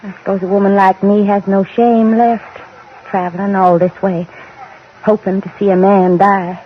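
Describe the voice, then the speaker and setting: An actress in an old-time radio drama playing a grieving, haunted widow in her thirties who speaks haltingly and emotionally. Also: mid-range voice, little pitch movement, lightly acted. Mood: bitter, sorrowful. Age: middle-aged. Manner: halting, emotional, repeating phrases. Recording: muffled old radio recording, faint noise.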